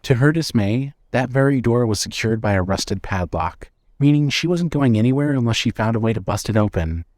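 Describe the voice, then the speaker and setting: A man narrates a scripted story in a mid-range voice. His delivery is measured and builds suspense, and the recording is dry, with very little room sound. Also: mid-range voice, a little mellow, dry recording.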